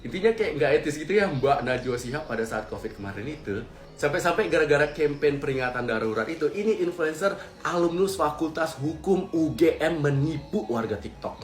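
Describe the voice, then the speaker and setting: A man's voice: a little high, expressive, a little fast. A man commentating in an online video, mocking his subject and chuckling as he talks. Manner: mocking, chuckles while talking. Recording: echoey room with music underneath.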